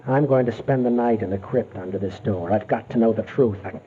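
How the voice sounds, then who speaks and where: A man's voice: fairly low pitch, fairly quick, dark tone, close to an everyday voice. An actor playing an exhausted, obsessed man who speaks formally and commandingly in 1940s radio melodrama style. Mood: weary, determined. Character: exhausted, obsessed, haunted male castle heir. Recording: dull old radio recording, faint noise.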